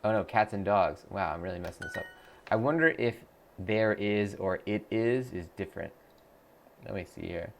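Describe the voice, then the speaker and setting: A man with a low voice and a gravelly, crackly edge talks through a live stream in a loose, rambling way. He thinks out loud, trails off and restarts sentences. He reads viewer comments aloud and answers them as he goes. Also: normal speed. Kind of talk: casual live-stream chat while practicing a language app.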